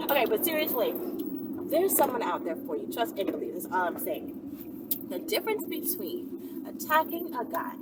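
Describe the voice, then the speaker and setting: A woman vlogger answering viewer questions in a rambling, animated monologue, often checking in with "right?" and "okay?". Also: high-pitched, far from the mic, heavy background noise. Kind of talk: casual Q&A monologue.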